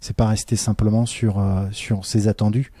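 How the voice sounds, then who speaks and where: A man's voice: on the low side, little pitch movement, normal speed, warm tone, breathy edge, dry recording. A man, a teacher, talking earnestly in a casual interview, with many fillers and restarts. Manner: earnest and reflective.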